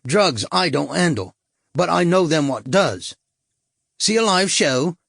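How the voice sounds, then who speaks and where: Male reader doing a brash tout, higher voice, oily salesman patter, dry sound. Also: lively intonation, measured pace, creaky, a bit bright, no room sound, exaggerated voice. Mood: wheedling. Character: sleazy male London street tout, pushy.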